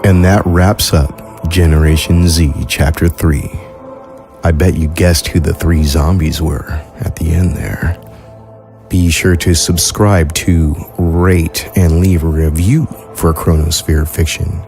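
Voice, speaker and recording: Deep, slow, gravelly man announcing. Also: fairly even intonation, a little mellow, faint music behind. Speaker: podcast announcer. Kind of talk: scripted outro announcement.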